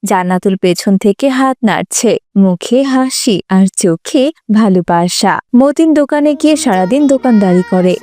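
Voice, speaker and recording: A woman's voice: mid-range voice, expressive, unhurried, warm tone, no echo. A woman narrating a scripted moral story for a cartoon.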